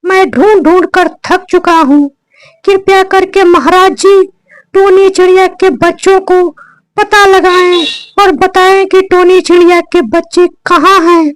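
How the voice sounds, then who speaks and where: A man voicing a helpful, caring cartoon parrot with a squeaky voice and an earnest, polite, brotherly manner. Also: steady tone, a little slow, dry recording, rough recording. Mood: pleading. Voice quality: squeaky, artificially high.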